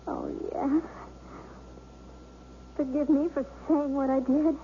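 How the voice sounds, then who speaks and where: An actress playing a patient, caring wife in a 1970s radio drama, who speaks in a soothing, reassuring way. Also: female voice, high-pitched, steady tone, slow pace. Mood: tender. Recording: slightly dull 1970s radio broadcast with faint hiss.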